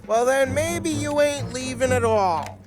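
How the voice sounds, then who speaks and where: An actor playing a brash, breezy gangster with a streetwise, informal way of talking. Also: male voice, extremely high pitch, lively intonation, a little slow, over loud music. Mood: threatening. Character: brash, breezy male mob enforcer. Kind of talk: dramatic game cutscene acting.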